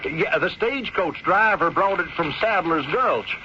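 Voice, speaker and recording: High-pitched, hesitant western townsman. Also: expressive, unhurried, background noise. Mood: deferential. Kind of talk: radio mystery drama acting. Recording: muffled old radio recording with music.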